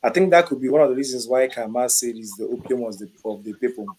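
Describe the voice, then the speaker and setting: A man with a mid-range voice speaks in a measured, hesitant way, pausing on fillers. A faint noise runs under his call audio. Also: mid-range voice, darkish tone, poor audio. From Igbo region of Nigeria. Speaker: panelist.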